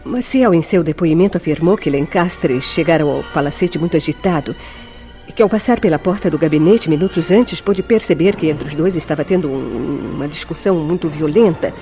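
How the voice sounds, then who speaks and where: A woman's voice: sits low, brisk, warm tone, soft background music. An actress playing a distraught, devoted woman in a Brazilian radio soap, with formal diction and pleading drama. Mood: anxious, tense. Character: distraught, devoted woman shielding her guilty lover. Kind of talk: melodramatic radio soap acting.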